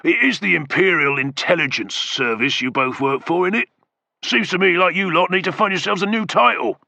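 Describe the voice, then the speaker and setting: A man playing a gruff centurion. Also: medium pitch, animated, moderate pace, bright, forceful tone, dry recording, far from the mic. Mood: sarcastic. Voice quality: growling, brusque. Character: gruff blunt veteran male soldier.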